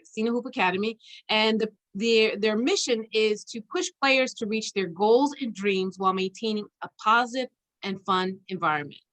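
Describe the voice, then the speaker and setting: A woman hosting a conversational interview, chatty and halting as she searches for her words. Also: medium pitch, fairly bright tone, low-quality recording. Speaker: interview host. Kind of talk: conversational interview with an informal guest introduction.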